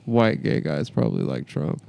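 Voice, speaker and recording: A soft-voiced man bantering casually as a comedy podcast host in a deadpan manner. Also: a bass voice, moderate pace, vocal fry.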